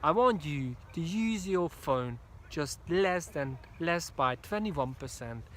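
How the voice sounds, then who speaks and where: A Hungarian-accented man delivers a motivational pep talk, his voice sitting a bit higher than most men's. He hammers the same phrases over and over with emphatic, preacher-like drive. He is outdoors, with faint city traffic noise behind him. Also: fairly bright tone. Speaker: motivational speaker.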